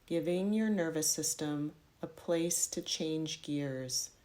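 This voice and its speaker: A woman guiding a yoga nidra meditation in a measured, instructional way, offering gentle directions. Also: sits low. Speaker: meditation guide.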